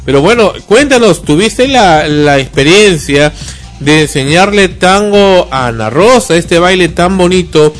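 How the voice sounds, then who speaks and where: A chatty man hosts a radio talk show, joking and rambling with plenty of fillers. His voice sits a little high for a man, and soft music plays faintly underneath. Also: animated, measured pace. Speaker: radio show host and director. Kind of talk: casual radio chat.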